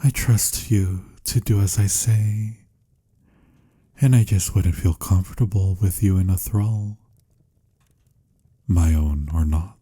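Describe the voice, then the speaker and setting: Man voicing a husky, very deep romantic vampire. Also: dark tone, full character voice. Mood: intimate, coaxing. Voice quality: husky, breathy, slipping into whispers. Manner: intimate, soothing, slightly formal endearments. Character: tender, teasing, lovelorn male vampire. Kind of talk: romantic ASMR audio roleplay.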